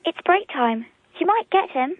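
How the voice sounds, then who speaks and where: An actress playing a blunt, matter-of-fact young daughter speaking over the phone in a radio drama. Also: female voice, very high voice, animated, moderate pace, no echo. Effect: phone line. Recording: tinny phone line.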